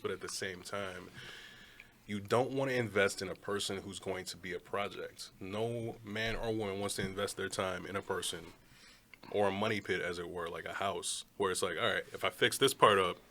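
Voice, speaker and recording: A man with a slightly low voice in a relaxed panel conversation. He lays out reasoned counterarguments and uses analogies to make his point. His tone stays conversational throughout. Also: slight background noise. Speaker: panel guest. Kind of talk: casual group discussion.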